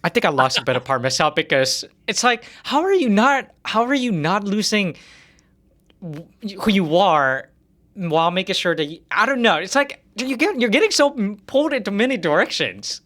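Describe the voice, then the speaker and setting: A man's voice: high voice, sing-song, moderate pace, bright, forceful tone. A man interviewing in an informal, conversational way, circling around and rephrasing his questions. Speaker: interviewer, podcast host.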